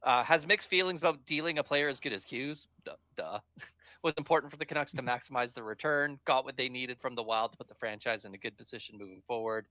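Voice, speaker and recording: Bright-voiced man reading hockey notes. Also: mid-range voice, fast talker, no room sound, dull audio. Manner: chatty, reads bullet notes aloud then comments. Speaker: co-host. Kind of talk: casual podcast chat, reading news notes.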